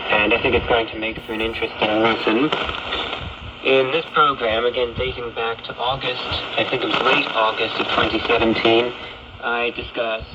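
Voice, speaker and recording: A man's voice: medium pitch, muffled recording. A man hosting a radio talk show, speaking earnestly with frequent fillers and some emphatic stresses. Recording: broadcast heard through a shortwave receiver with static.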